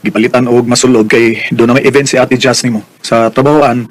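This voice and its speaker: An actor playing a frank, protective, married older brother in a radio drama, with a Filipino Bisaya accent. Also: male voice, mid-range voice, fairly even intonation, brisk, close to an everyday voice. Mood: warm.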